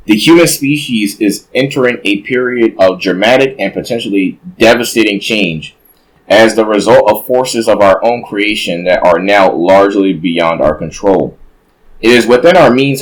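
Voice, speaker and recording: A man reading a nonfiction book aloud in a measured way, now and then stopping to correct a slip. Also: on the low side, rough recording.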